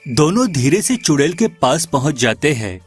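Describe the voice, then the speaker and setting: A man narrating an animated folk tale as a storyteller, in scripted delivery. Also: fairly high pitch, moderate pace, husky, faint music behind.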